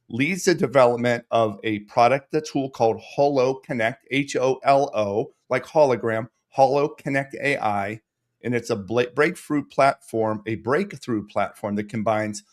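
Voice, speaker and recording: Upbeat male podcast host. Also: mid-range voice. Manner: upbeat, reads guest introduction then chats. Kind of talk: conversational interview.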